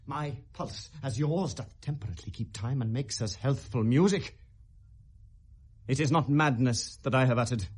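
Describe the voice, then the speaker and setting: Casting: male actor for anguished young Shakespearean prince, husky voice, RP English, impassioned and accusing, theatrical 1970s radio drama delivery. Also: medium pitch, moderate pace, close to an everyday voice. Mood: urgent, accusing. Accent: British Received Pronunciation. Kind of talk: 1970s British classical radio drama acting, Shakespearean verse.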